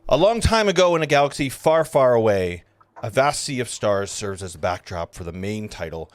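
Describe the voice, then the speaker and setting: A man narrating stage directions in a live table read of a film script, sometimes halting and stumbling over the text. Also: on the low side, big pitch swings, no room sound.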